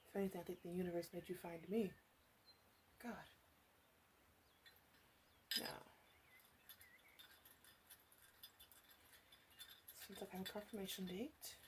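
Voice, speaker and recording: A woman playing a flirty, teasing witch in an ASMR roleplay, coaxing the listener softly with playful asides. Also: fairly low pitch, fairly even intonation, normal speed, long pauses, a little hiss or hum, natural voice. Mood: coaxing, curious. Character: teasing, flirty witch in her three hundreds.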